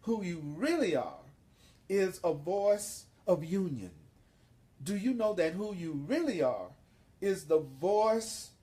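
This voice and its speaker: Male spiritual teacher, very unhurried and relaxed in tone, sermon delivery, emphatic and repetitive phrasing. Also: high-pitched. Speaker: spiritual teacher leading a course.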